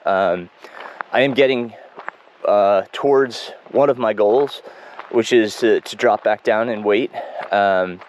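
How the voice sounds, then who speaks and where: A man speaking in a casual running video diary, congested and froggy, reflective and halting with many ums and restarts. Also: on the low side, slight background noise. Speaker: running vlogger. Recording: dull lo-fi home recording.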